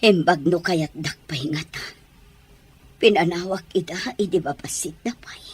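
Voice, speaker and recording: A woman acts out an ailing, abandoned mother for a radio-style drama. Her voice is very husky and breathy, on the low side, often slipping toward a whisper. She sounds weary and pleading. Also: moderate pace. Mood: pained. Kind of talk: Ilocano audio drama acting.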